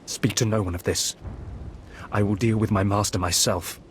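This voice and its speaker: A scheming Sith villain from a sci-fi game, played by a man. He speaks in a fairly low voice, haughty, guarded and deliberate, with rain and thunder rumbling behind. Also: steady tone, slight background noise, natural voice. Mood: secretive. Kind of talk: video game voice acting.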